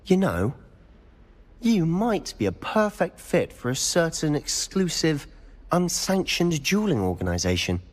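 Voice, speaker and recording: A man's voice: on the high side, very animated, in a large hall. A young man voicing a charming, rule-breaking teenage wizard student with a conspiratorial manner. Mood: sly. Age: young adult. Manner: charming, conspiratorial, quick-witted.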